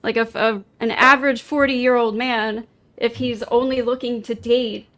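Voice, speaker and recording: A woman's voice: fairly high pitch, fairly even intonation, normal speed, a bit bright. A woman in her thirties on a debate panel, hesitant and full of "like" fillers. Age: 30s. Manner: hesitant, filler-heavy, says "like" often. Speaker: panel guest. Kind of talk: casual debate.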